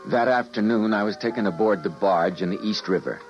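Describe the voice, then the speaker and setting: An actor playing an earnest, principled doctor with a dramatic delivery in an old-time radio drama. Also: male voice, fairly low pitch, fairly quick, music in the background, close to an everyday voice. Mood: resigned, sombre. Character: earnest, principled male doctor, troubled and indignant. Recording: muffled vintage radio drama recording.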